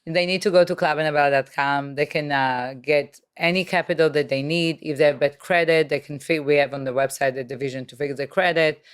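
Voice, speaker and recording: A woman from Israel with an Israeli accent, a guest entrepreneur talking in an energetic, promotional way in a casual interview. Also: low voice, brisk, hardly any pauses, clean tone.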